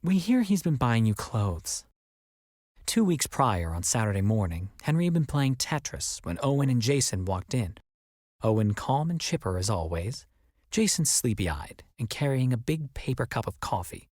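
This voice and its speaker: A man reading as an audiobook narrator, in an expressive scripted storytelling style. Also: medium pitch, moderate pace, dry recording, clean studio sound.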